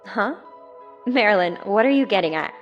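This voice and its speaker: A woman's voice: medium pitch, expressive, normal speed, highs cut off, faint music behind, lightly acted. A woman playing a friendly young 1950s housewife in a radio drama. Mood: curious.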